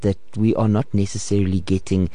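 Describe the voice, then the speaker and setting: A man's voice: on the low side, flat delivery, a little fast, mellow, dry recording. A man hosting a panel discussion, often stammering and repeating words as he builds his questions. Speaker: host.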